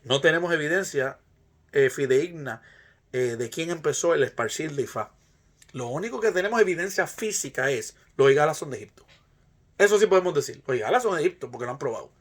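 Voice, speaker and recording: Higher-pitched Puerto Rican man, emphatic lecturer. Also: lively intonation, brisk. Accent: Puerto Rican Spanish. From Puerto Rico. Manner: emphatic, rhetorical, repeats phrases for emphasis. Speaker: presenter, Ifa practitioner. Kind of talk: argumentative informal lecture.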